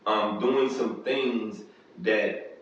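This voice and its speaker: A man's voice: lowish voice, very slow, a bit bright. A man reading a novel aloud and breaking off into loose conversational commentary, often checking in with the listener. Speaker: reader and commentator. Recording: distant dull echoey room recording.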